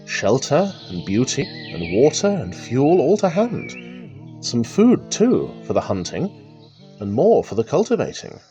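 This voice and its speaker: An actor playing a chatty, wry country rambler who thinks aloud, musing and exclaiming in old-fashioned idiom, in a radio drama monologue. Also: male voice, medium pitch, wildly swooping pitch, measured pace, dark tone, faint music behind. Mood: curious, admiring. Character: chatty male country rambler talking to himself, wry and excitable.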